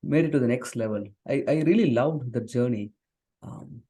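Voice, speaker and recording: Earnest man from southern India, mellow voice. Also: medium pitch, normal speed, husky. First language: Tamil. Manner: earnest, with frequent fillers and restarts. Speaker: guest, software engineer and author. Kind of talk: conversational interview.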